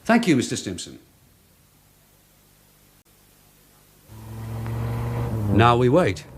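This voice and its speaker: An actor playing a shrewd police detective sergeant in a radio crime drama, businesslike, firm and laconic. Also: male voice, mid-range voice, huge pitch swings, brisk, slightly rough. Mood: firm, polite.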